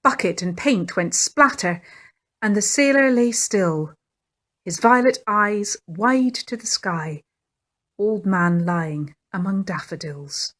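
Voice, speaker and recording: A woman's voice: mid-range voice, animated, takes it slowly, a bit bright. A woman reading her own picture book aloud in a lilting storytelling manner. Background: faint fiddle music.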